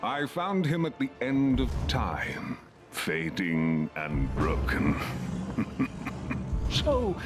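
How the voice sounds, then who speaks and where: A man's voice: fairly low pitch, huge pitch swings, drawn-out words, pauses often, full character voice. An actor playing a pompous, theatrical male conqueror villain in a video game, with a grandiose, gloating delivery. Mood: scornful, amused. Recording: voice under loud game music.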